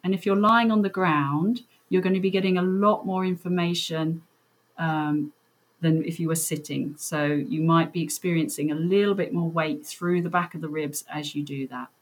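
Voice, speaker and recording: Soft-spoken woman guiding a breathing meditation. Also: deep voice, fairly even intonation. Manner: gentle, reassuring, unhurried instruction with soft pauses.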